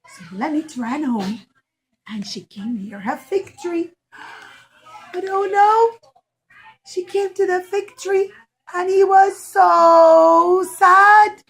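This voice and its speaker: Woman, nursery storyteller, high-pitched, slow-paced, emphatic, questioning, speaking to young children. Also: expressive, fairly bright tone, low-quality recording. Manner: questioning, emphatic, drawn-out key words. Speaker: nursery teacher and puppet show storyteller.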